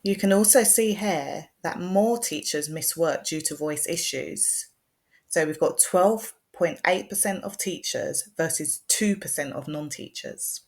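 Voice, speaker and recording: A woman, a speech and language therapist, giving a measured, scripted presentation that explains as it goes. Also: low-pitched.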